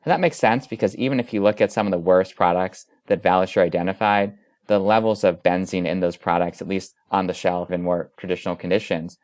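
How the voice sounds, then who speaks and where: A man's voice: low voice, a little fast, a little mellow, no echo, studio quality. A man, a physician researcher, explaining findings methodically as an interview guest.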